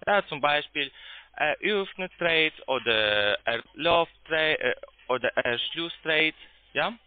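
Halting foreign-accented man on phone line. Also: on the high side, expressive, no echo. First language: not German. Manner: halting, searching for words in broken phrasing. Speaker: phone sales agent pitching investments. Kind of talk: sales call. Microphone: telephone. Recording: tinny, muffled phone line.

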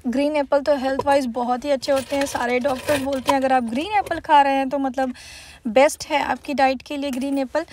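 A woman's voice: sits high, fairly even intonation, brisk, a little mellow, a little hiss or hum. A woman from India narrating a casual vlog, chatting easily and dropping English words into her talk. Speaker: vlogger.

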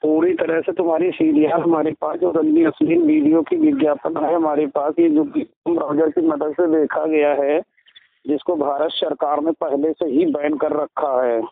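A man's voice: high-pitched, animated, moderate pace, vocal fry. A man on a phone call threatening and hectoring the listener, posing as a police officer. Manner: intimidating, bullying, hectoring. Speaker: phone scammer posing as a crime branch officer. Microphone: telephone line. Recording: tinny recorded phone call, band-limited.

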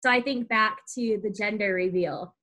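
A woman's voice: mid-range voice, normal speed, pushed and bright. A woman in her twenties hosting a podcast, chatting informally and often saying "like" and "y'all". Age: 20s. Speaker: podcast host. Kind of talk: casual chatty interviewing.

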